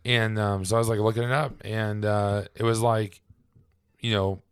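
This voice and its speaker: A man with a raspy, hoarse voice from laryngitis, pitched on the low side. He co-hosts a casual podcast chat, stumbling through ums and letting his words fade out. The sound is dry and close to the microphone. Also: little pitch movement, no room sound. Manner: halting, with many ums and trailing off quietly. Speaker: podcast co-host.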